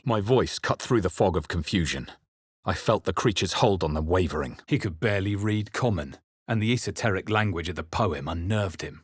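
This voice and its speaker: A man voicing a wary, brooding adventurer hero in a fantasy video game, narrating in the first person with dramatic, measured intensity. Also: low-pitched, breathy edge, no room sound, studio quality. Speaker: video game player character voice.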